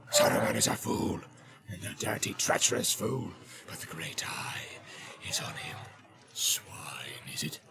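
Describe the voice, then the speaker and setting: A man's voice: on the low side, huge pitch swings, measured pace, creaky, exaggerated voice. Grishnak, a man hissing as a sly orc. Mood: sneering. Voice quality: soft, sneering, whispery. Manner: scheming hiss. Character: sly male orc messenger, soft-voiced and evil.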